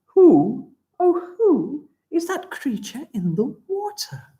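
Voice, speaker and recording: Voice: high voice, huge pitch swings, slow pace, dark tone, exaggerated voice. A storybook reader voicing a timid, earnest young tyrannosaur who is tearful and sweet. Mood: wondering. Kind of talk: storybook character voice.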